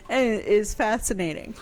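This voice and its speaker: A woman historian chatting eagerly on a radio show, quick to agree and add facts. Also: on the high side, sing-song, poor audio. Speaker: historian guest. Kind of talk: casual radio chat.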